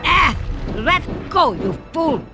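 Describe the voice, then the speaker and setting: A woman's voice: high-pitched, very animated, slow, heavily acted. A woman voicing a scheming, theatrical evil duck sorceress in a cartoon game, gloating and mocking in an Eastern European accent. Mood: irritated. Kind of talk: cartoon game villain acting. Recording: music behind the voice.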